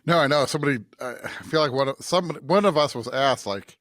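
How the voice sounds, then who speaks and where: A man's voice: medium pitch, a little fast, a bit bright, no echo, studio quality. A man co-hosting a church podcast in casual, conversational talk. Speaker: podcast co-host and pastor. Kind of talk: casual chat.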